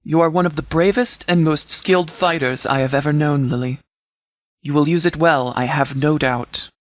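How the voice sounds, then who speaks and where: Actor as a formal, higher-pitched male military commander. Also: no room sound, studio quality, lightly acted. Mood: solemn, appreciative. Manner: formal, measured, authoritative diction. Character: stern, formal military commander giving orders. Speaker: video game character voice. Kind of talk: scripted game dialogue, mission briefing.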